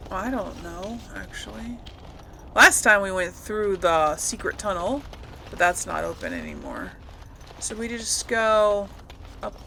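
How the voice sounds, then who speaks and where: A woman's voice: mid-range voice, sharp tone. A woman hosting a gameplay video, thinking aloud in casual commentary as she plays. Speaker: gaming video host. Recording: clear noise behind voice.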